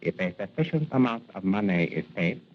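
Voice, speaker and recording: Solemn, low-voiced merchant. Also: male voice, lively intonation. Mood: grave. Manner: formal, measured, flowery. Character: solemn, courtly Chinese merchant speaking in proverbs. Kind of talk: 1940s radio acting. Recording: muffled, noisy old radio recording.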